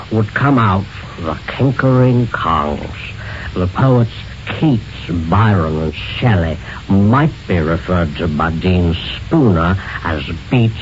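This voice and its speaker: A man presenting a scripted short feature in old radio style. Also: low-pitched. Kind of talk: scripted narration, 1940s-50s radio. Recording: muffled, hissy old radio recording.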